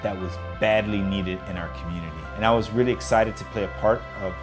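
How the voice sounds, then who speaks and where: Male candidate, American, slightly deep, personal testimony, prominent music bed. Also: fairly even intonation, fast talker. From Chicago, United States. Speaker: city council candidate, organizer. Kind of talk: personal testimony in a campaign video. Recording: clear music behind voice.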